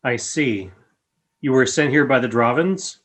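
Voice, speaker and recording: A man's voice: medium pitch, a little slow, fairly bright tone, lightly acted. Man voicing a courteous, formal alien being. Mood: grave, courteous. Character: polite, grave alien speaking through a translator robot. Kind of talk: audio drama radio play acting.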